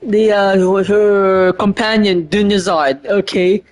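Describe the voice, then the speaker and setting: A woman gives casual playthrough commentary at a slow pace, in a mid-pitched voice. She reacts as an excitable fan, with faint game music behind. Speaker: game streamer.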